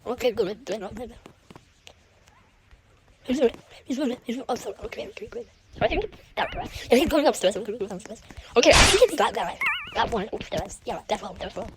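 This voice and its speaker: Female gamer, lively swooping delivery, fairly high voice, casual running commentary with repeated phrases, very noisy home recording. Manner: repeats short phrases rapidly. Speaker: gamer commentating own play.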